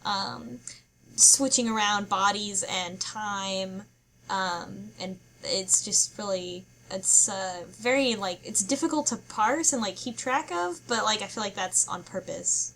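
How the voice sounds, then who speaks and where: Woman, medium pitch, casual podcast chat, searching for words, slightly noisy recording. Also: slow pace, fairly bright tone. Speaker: podcast panelist. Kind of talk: casual book club discussion.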